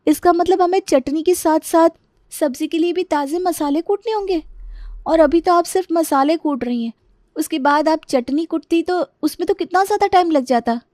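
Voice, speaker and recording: A high, dry-recorded young daughter-in-law, played by a woman in a family audio story. She is whiny and exasperated, complaining with dramatic exclamations about household life. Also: normal speed, a little mellow, dry recording. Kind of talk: acted Hindi family story dialogue.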